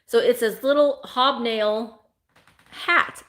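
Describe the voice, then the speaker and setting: A woman's voice: a little high, slow, a bit bright. A woman in her forties hosting a live vintage sale from home, chatting and joking as she shows items. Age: 40s. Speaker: online vintage seller.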